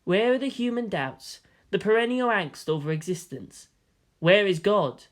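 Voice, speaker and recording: Animated, theatrical fiction narrator. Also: mid-range voice, measured pace. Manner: expressive, theatrical. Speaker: audiobook-style narrator of fan fiction. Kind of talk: dramatic scripted story narration.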